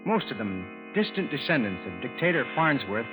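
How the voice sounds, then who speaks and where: A man's voice: medium pitch, sing-song, normal speed, lightly acted. A man acting a folksy, skeptical, bewildered American husband and narrator in an old radio drama, in a colloquial style. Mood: reflective narration. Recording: tinny vintage radio recording, music behind.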